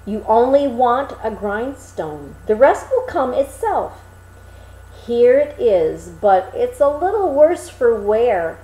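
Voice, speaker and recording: A woman's voice: fairly high pitch, sing-song, takes it slowly, dark tone, reverberant, poor audio. A woman voicing a sly, self-satisfied male tradesman in a storybook tale, boastful and persuasive. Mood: wheedling. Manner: smooth-talking, persuasive, boastful.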